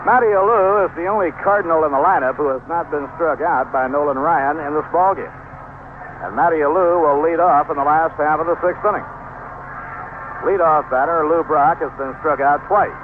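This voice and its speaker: An American man gives live baseball play-by-play in a fairly high-set voice. He sounds like a 1970s radio announcer, heard through a thin, band-limited broadcast with light noise behind him. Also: narrow, tinny audio.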